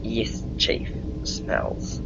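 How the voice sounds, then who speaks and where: Easygoing young starship engineer, muffled noisy audio man acting. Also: drawn-out words. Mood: confident, deadpan. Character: young male starship engineer, easygoing, eager, slightly cocky. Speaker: engineering lieutenant. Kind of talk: science fiction audio drama acting. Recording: muffled audio with clear background noise.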